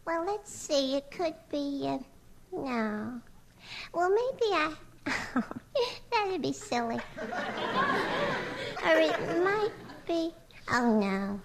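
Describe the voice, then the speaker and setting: An actress playing a dim-witted but sweetly earnest secretary in a 1950s radio comedy, scatterbrained and innocently confident. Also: female voice, high-pitched, sing-song, extremely slow, long pauses, a crowd in the background, background noise, exaggerated voice. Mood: muddled.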